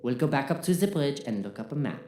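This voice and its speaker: A reasoning, wry female crew member, played by a woman with a low, mellow voice. She coaxes deliberately in sci-fi audio drama style, in a roomy recording with faint noise. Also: expressive. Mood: practical. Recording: echoey room with faint noise.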